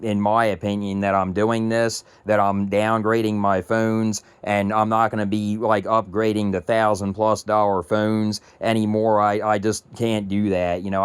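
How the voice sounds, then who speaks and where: A man in his early thirties talks to his viewers in a low, flat, meandering way, full of ums and restarts. His voice is clear but a touch raspy from allergies, and the recording is close and dry. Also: no echo. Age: 30s. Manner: rambling, many ums and restarts. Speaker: tech YouTuber. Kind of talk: casual vlog talk to camera.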